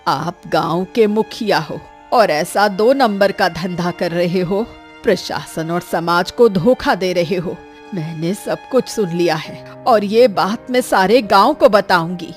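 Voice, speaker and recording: Woman as a slow-talking, scolding old grandmother. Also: fairly low pitch, faint music behind. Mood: indignant. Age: older. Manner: scolding, lamenting. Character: careworn scolding old grandmother, loving but indignant. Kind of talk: Hindi animated story dialogue.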